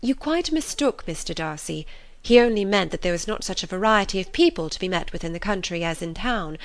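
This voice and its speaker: A woman's voice: on the low side, expressive, brisk. Lady reader as a clever young woman from an old novel, composed, a bit arch, well spoken. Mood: embarrassed. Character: quick-witted, composed, playful young woman. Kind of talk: audiobook character voice.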